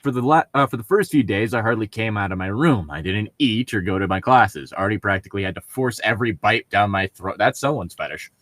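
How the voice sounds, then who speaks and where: A man's voice: on the low side, expressive, brisk, hardly any pauses, a bit bright, dry recording. A man co-hosting a casual fan fiction reading, riffing in a profane, sardonic way. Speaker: podcast co-host reading fan fiction aloud.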